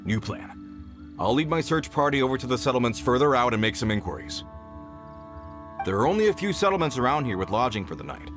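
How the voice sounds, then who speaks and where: A man acts a dutiful search party leader in a video game. He sounds brisk and earnest, with a medium voice that rises and falls expressively. Music plays faintly behind. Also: mid-range voice, very animated, lightly acted. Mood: resolute. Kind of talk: English video game voice acting.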